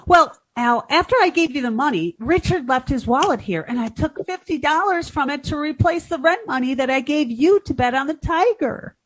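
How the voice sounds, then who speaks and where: High-pitched scatterbrained young woman played by an actress. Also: expressive, moderate pace, hardly any pauses, low-quality recording. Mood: worried. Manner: guileless, dim-witted earnestness. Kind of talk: 1940s radio comedy acting.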